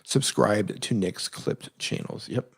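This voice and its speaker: A man's voice: fairly low pitch, takes it slowly, creaky, fairly bright tone, husky. Casual man answering viewer questions live. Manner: direct, plain-spoken advice with frequent self-corrections; reads viewer questions aloud, then answers conversationally. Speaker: live stream Q&A host.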